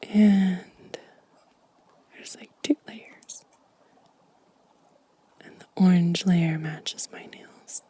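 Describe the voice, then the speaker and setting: A woman giving a gentle, unhurried ASMR show and tell in a soft, mostly whispered, breathy voice, close to the microphone. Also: fairly low pitch, fairly even intonation. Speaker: ASMR video host.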